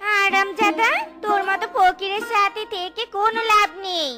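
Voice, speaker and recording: An extremely high, girlish cartoon fox wife. She is greedy and petulant, nagging and bossing with whiny insistence. Loud tinkly music runs behind her. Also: steady tone, normal speed. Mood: furious. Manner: whining, bossy, insistent. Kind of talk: cartoon voice acting in a comic folk tale.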